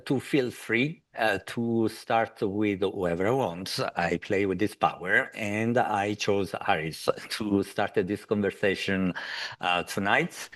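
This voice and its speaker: A man's voice: lowish voice, a bit bright. A man moderating a panel, handing the floor to speakers with a hesitant manner and many filler sounds.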